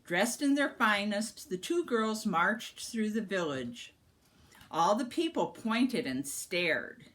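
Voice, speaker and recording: A woman narrates a storybook for a young child. Her pace is slow and deliberate, and her pitch moves widely for dramatic, expressive effect. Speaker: storyteller reading a picture book aloud to a young child.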